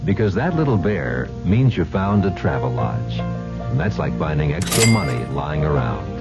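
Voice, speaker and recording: A man's voice: low-pitched, very animated, moderate pace, gravelly, loud music behind the voice. A man reading a folksy vintage radio commercial as announcer, on a muffled recording. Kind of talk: folksy radio advertisement read.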